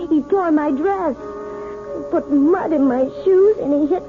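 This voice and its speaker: An actress in a 1970s radio drama playing a hypnotized woman speaking as a troubled, childlike little girl, halting and repeating words. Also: high-pitched. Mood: resentful, sulky. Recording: muffled old radio broadcast with music.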